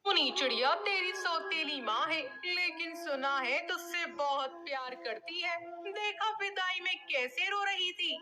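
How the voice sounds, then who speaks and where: Nosy mother-in-law pigeon, slow and high over noise, voiced by a woman. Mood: prying. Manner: prying, gossipy. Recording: noisy background.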